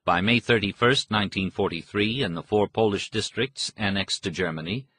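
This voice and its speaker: A man narrates a history audiobook in a low, husky voice. His delivery is measured and formal, following a written script. The sound is close and very dry, with no room around the voice. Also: fairly bright tone, no room sound.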